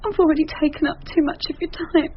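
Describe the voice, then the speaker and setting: An actress playing a brash, emotional young model in a radio drama, with a British accent and a chatty, blunt manner. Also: female voice, high-pitched, fairly even intonation, fast talker, lightly acted. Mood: pleading. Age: young adult. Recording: muffled, noisy lo-fi radio drama recording.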